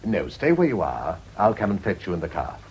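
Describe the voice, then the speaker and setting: Wealthy English gentleman, very low voice, lively intonation, urbane, sardonic, radio drama. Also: fairly quick, background noise. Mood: uneasy, polite. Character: suave, wealthy English gentleman, uneasy and cynical. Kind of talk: British radio drama acting.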